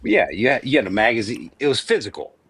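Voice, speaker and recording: A man's voice: mid-range voice, big pitch swings, fast, a bit bright, slightly rough. A man in his late thirties, from Venezuela, talking earnestly and emphatically in a casual debate, often restarting his sentences. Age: 30s.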